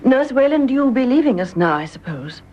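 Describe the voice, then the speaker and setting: A woman's voice: high voice, very animated, moderate pace, warm tone. A woman with a British accent playing a gracious, fragile young widow in a radio drama, polite and emotional. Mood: sad, gracious. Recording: muffled radio recording with faint background noise.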